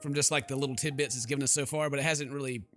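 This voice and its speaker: A man's voice: medium pitch, steady tone, very fast, a bit bright, faint music behind. A man doing let's play commentary, reading game text aloud dramatically and stumbling now and then. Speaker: gaming YouTuber.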